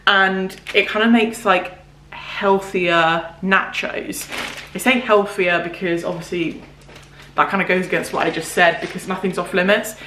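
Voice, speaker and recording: A woman's voice: on the low side, fairly even intonation, a little slow, pushed and bright, a little hiss or hum. A young woman, chatting casually to camera at home with lots of fillers. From South West England. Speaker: vlogger. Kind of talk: casual grocery haul chat. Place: home living room, indoors. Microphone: camera mic at seated distance. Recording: echoey room.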